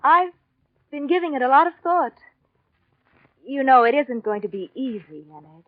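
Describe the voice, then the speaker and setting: A woman's voice: sits high, lively intonation, moderate pace, no room sound. An actress playing a scheming, possessive mistress in a 1940s radio drama, coaxing and silkily controlled as she presses her will. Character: scheming, possessive mistress, coolly cruel wife. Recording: dull vintage 1940s radio recording.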